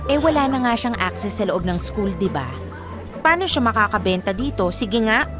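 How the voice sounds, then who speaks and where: A woman's voice: medium pitch, expressive, moderate pace, music in the background, a crowd in the background, close to an everyday voice. A young woman with a Filipino accent playing a loyal, defensive college student in a conversational radio drama. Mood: breezy, defensive. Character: loyal, defensive female college student selling food for friend.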